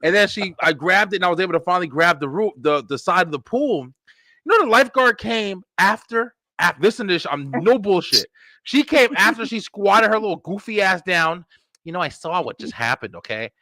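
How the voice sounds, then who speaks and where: A man in his thirties from New Jersey, hosting a casual webshow chat and telling a story loudly, cursing and laughing as he goes. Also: high voice, brisk, no room sound. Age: 30s. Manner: animated storyteller, profane, laughs while telling. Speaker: webshow host.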